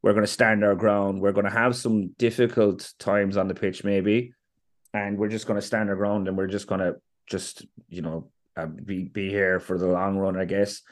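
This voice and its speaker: A man's voice: on the low side, flat delivery, moderate pace. A man with an Irish accent talking casually as a podcast guest, rambling with many restarts and laughing often. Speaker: podcast guest, fan banner maker.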